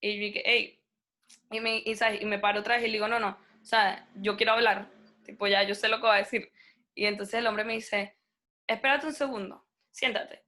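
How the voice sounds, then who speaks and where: A young woman, a native Spanish speaker, talking as an interview guest in a lively, casual way and acting out the people in her story. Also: mid-range voice, normal speed.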